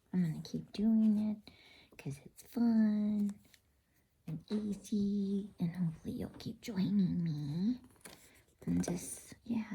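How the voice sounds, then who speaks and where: Woman, ASMR whisper, very husky and breathy, very soft dark tone, bedtime storyteller. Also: fairly low pitch. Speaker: host and bedtime story reader. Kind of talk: whispered ASMR, casual announcements and storybook reading.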